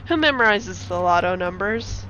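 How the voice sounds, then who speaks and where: A woman's voice: medium pitch, lively intonation, normal speed, fairly bright tone, muffled recording. A woman streamer giving a comic dramatic reading of a screenplay with casual, giggly asides. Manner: giggly, self-interrupting.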